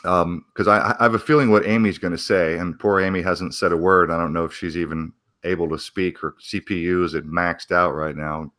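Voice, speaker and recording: A man hosting a casual livestream with a very low-pitched voice that still sounds bright. He reads out the chat in an easygoing, halting way, full of ums. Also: fairly even intonation, no room sound, studio quality. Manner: easygoing, hesitant, many ums. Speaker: host.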